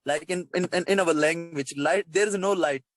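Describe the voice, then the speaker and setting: A man's voice: a little high, normal speed, dry recording, studio quality. A man from Pakistan with a Pakistani accent and Urdu as his native language, interviewing informally with hesitant, repetitive, wordy questions.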